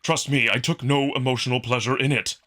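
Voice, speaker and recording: A man voicing a proud, earnest wolf alien in a visual novel drama, formal and emphatic, quick to defend himself. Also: mid-range voice, steady tone, sharp tone, close to an everyday voice. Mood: earnest, reassuring.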